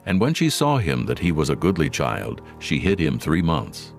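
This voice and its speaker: Extremely deep, gravelly male Bible narrator. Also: wildly swooping pitch, normal speed, soft background music. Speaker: scripture narrator. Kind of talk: scripted dramatized Bible narration.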